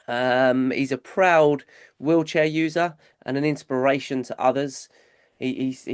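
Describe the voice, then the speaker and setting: A man's voice: medium pitch, clean tone, no echo. Bunged-up guy hosting a podcast, chatty, keeps saying mate, dry mic. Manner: chatty, repeats words, says mate often. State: congested, stuffy-nosed. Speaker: podcast host. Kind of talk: casual podcast chat.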